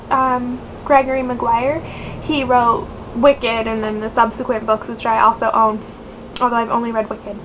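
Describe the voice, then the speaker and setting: A woman's voice: fairly high pitch, a bit bright. A nasal-voiced woman in her twenties, chatting casually to camera as a book vlogger, rambling with many fillers. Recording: noisy home recording.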